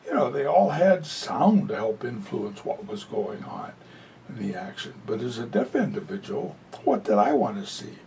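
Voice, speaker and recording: A man interpreting a talk aloud, picked up from a distance in a hall with a faint low rumble behind him. His voice has a gravelly edge and moves lively up and down. He talks in a loose, conversational way, full of fillers. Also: moderate pace. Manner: conversational, many "you know" fillers. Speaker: sign language interpreter voicing a presenter. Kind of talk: live spoken interpretation of a talk.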